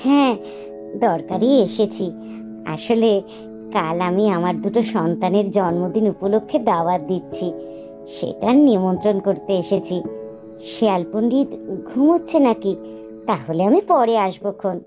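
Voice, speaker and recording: A woman's voice: mid-range voice, normal speed, pauses often, natural voice. Woman voicing a polite mother duck. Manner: polite, deferential. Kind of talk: cartoon voice acting. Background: background music.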